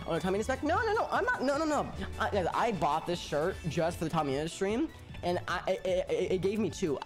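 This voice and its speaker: Young man streaming, lively, stutters. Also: sits high. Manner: stutters often, repeats words, lively. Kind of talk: casual live-stream chat. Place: home room, indoors. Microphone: headset. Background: music playing.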